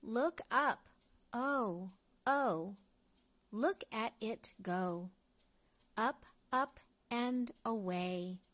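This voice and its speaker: Slow, deliberate woman reading to children. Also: on the high side, fairly bright tone. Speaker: storyteller, children's read-along host. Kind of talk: read-aloud of an early reader picture book for young children.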